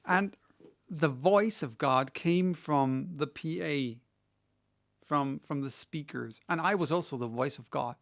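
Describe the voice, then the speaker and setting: A middle-aged man with a gravelly, fry-edged voice talks loosely and at length. He restarts sentences often and lifts into an animated tone when he retells what someone said. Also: fairly high pitch, slow pace. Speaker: audiobook reader answering questions. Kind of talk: casual monologue.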